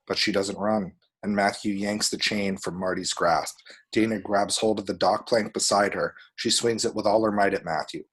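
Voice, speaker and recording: A man's voice: lowish voice, fairly even intonation, moderate pace, a bit bright, slightly rough. A man reading out a screenplay's action descriptions at a table read, with vivid, dramatic storytelling. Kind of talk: scripted screenplay narration with spoken sound effects.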